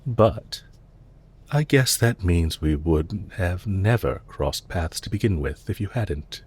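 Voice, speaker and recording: A man playing a suave, calculating villain leader in an intimate audio roleplay, speaking to the listener politely and softly, with measured, lightly teasing courtesy. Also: low-pitched, lively intonation, slightly rough, heavily acted. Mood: composed, courteous.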